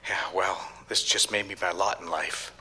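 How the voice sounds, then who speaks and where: An actor playing a married advertising boss in a live radio drama, commanding and emphatic yet smitten. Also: male voice, low voice, steady tone, normal speed, pushed and bright, breathy edge, heavily acted. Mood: grateful, weary. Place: live on stage in a theater.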